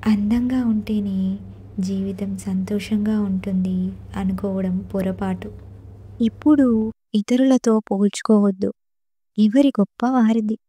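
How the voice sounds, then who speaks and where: A woman narrates a scripted children's moral tale. Her voice is medium-pitched and mellow. The recording is dry, with little room sound. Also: fairly even intonation, measured pace, long pauses, slightly rough, no echo.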